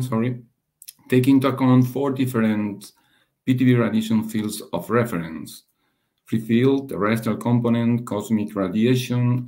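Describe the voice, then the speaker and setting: A man giving a technical slide presentation as a webinar speaker, halting and hesitant, often pausing and rephrasing. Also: fairly low pitch, a little mellow. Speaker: webinar presenter, radiation dosimetry researcher.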